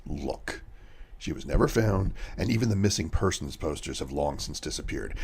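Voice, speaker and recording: A man playing a callous, defensive accomplice in a radio mystery drama, gravelly and insistent. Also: rumbling low, lively intonation, moderate pace, darkish tone, exaggerated voice. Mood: dismissive. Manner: dismissive, insistent. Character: callous, defensive male accomplice hiding a crime.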